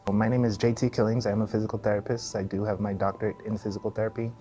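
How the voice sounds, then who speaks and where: A man's voice: on the low side, flat delivery, very fast, warm tone, faint music behind. A middle-aged man from Texas with an American accent, giving casual interview answers as a physical therapist. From El Paso, Texas, USA.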